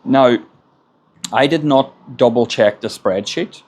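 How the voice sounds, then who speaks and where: A man's voice: mid-range voice, slow, darkish tone. An Irish man, a construction business owner, gives plain-spoken advice in a casual monologue and often repeats words as he gathers his thoughts. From Ireland.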